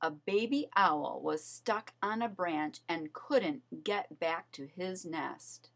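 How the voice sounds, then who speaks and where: A woman reading a children's story aloud as narrator, in an expressive, measured storytelling manner. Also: fairly low pitch, vocal fry. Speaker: storybook reader.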